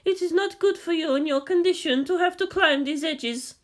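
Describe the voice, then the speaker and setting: A man voicing a timid, guilt-ridden Buddhist nun who speaks slowly, formally and apologetically in an audiobook-style story reading. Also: very high-pitched, voice pushed up. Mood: mournful, contrite. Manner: slow, formal, apologetic, stammering.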